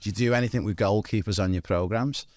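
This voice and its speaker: A man's voice: on the low side, fast talker, darkish tone, soft background music. A man hosting a podcast interview, chatty and enthusiastic, introducing his guest and asking questions. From Europe. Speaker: podcast host.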